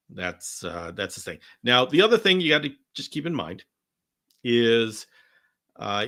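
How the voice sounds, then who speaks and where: A man hosts a live question session, answering viewers in a loose, enthusiastic ramble full of false starts. His tone is bright and forward, though his voice sounds worn and is starting to go hoarse. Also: medium pitch. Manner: enthusiastic, rambling with frequent restarts. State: voice going hoarse. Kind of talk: live Q&A chat.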